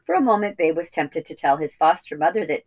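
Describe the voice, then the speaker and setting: A woman with a notably low voice narrates a children's story. She reads expressively, as a teacher would for young listeners. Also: animated, fast talker, a little mellow. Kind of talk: expressive children's read-aloud.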